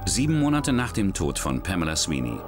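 A man reading scripted true-crime documentary narration in a measured, dramatic way. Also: low-pitched, big pitch swings. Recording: studio voice-over with music behind.

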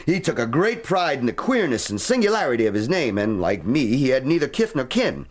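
A man's voice: medium pitch, big pitch swings, brisk. An actor playing a brash, impatient American man from Kansas with a folksy, blustery way of talking, in a radio drama. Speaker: visitor from Kansas.

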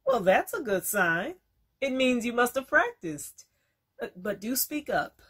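Woman playing husky, formal schoolteacher. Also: a little high, big pitch swings, a bit bright, heavily acted. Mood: encouraging. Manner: formal, measured, authoritative. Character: formal, brisk female schoolteacher running auditions. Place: indoor room, plain wall.

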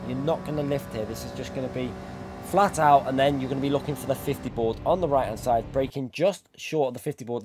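A man's voice: mid-range voice, noisy background. A man with a cold who is losing his voice, explaining things step by step as host of a racing game tutorial. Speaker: sim racing YouTuber, host. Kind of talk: tutorial commentary over racing game footage.

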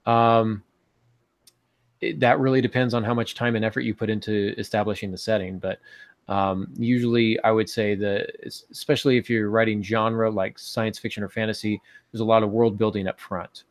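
A man in his forties with a fairly low voice, talking casually as a podcast co-host. He thinks aloud and offers advice tentatively. The sound is dry and close, from a video call in a small room at home. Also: level tone, normal speed, no echo. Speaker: podcast co-host and writing advisor. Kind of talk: casual advisory talk. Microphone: video call on earphones.

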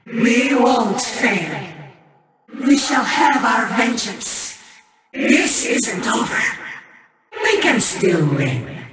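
Echoing, vengeful spirit woman. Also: fairly high pitch. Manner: theatrical, commanding, solemn declamation. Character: vengeful winged spirit woman, proud, merciless, menacing. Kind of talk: video game character voice lines. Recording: roomy, distant voice under loud music. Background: loud music.

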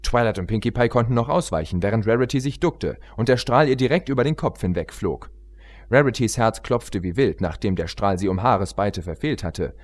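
A man's voice: fairly low pitch, expressive, fairly quick. A man reading a story aloud as an audiobook narrator in a scripted narration style.

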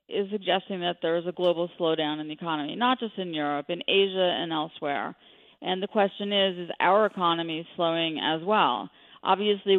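Woman guest on a tinny phone line. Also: on the low side. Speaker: mortgage expert guest. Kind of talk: radio interview commentary on the economy. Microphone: telephone.

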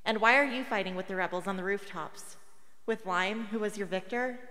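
A woman's voice: mid-range voice, a little fast, sharp tone, lightly acted. A woman acting a defiant, war-weary teenage rebel girl in a radio play. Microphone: close studio broadcast microphone. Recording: long hall reverb.

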